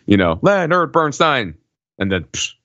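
A man's voice: medium pitch, a little slow, no echo. A man co-hosting a casual film discussion in a colloquial style full of asides and tag questions. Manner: animated colloquial asides, frequent "like" and "right?". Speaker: podcast co-host. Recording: dull, muffled close microphone.

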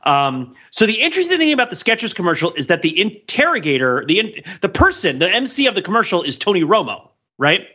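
A man with a husky voice giving rambling conversational commentary as a podcast host, often checking in with "right?". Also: high-pitched, big pitch swings, quick pace, few pauses, sharp tone. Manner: rambling, tags sentences with "right?". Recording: dull, muffled lo-fi sound.

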